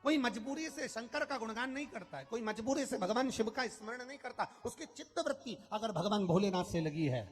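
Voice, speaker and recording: A man's voice: very high voice, lively intonation, brisk, hardly any pauses, long reverb tail, faint music behind. A man preaching a devotional katha sermon, telling stories, repeating phrases and singing lines. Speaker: Shiva Purana storyteller preacher. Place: large outdoor religious gathering.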